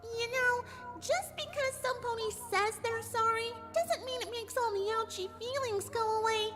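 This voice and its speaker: A woman voicing a bubbly, childlike female cartoon pony who is a sweetly sadistic killer, with a squeaky, girlish voice. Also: normal speed. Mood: mock sweet. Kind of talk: cartoon fan audio drama. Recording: loud music behind the voice.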